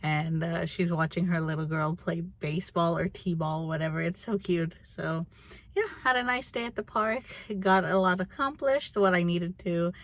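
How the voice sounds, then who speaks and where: Rambling young woman vlogger, slightly low voice. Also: normal speed. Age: young adult. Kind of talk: casual chatty vlog.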